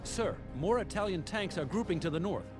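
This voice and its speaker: A man's voice: high voice, expressive, brisk. A man playing an alert soldier in a war video game, giving clipped, urgent reports to his colonel. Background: loud music.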